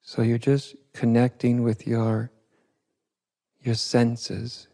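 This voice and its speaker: A man guiding a meditation as a teacher, speaking in soft instruction with halting short phrases and long pauses. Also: mid-range voice, completely flat delivery, gravelly. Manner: unhurried, halting, pausing between short phrases. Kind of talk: guided meditation, softly spoken instruction.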